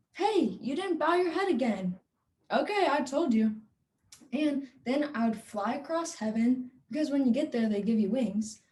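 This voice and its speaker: A woman's voice: on the high side, darkish tone. A young woman on stage playing a naive, bossy little child who earnestly explains things in a monologue. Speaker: drama student performing a monologue. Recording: roomy, distant microphone.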